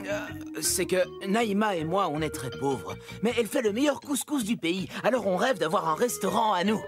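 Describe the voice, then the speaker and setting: Lively, sly cartoon trickster. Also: male voice, high voice, normal speed, gravelly. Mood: earnest. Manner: theatrical, coaxing, exclamatory. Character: poor, cheerful, sly trickster husband. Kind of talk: cartoon acting. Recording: loud music under the voice.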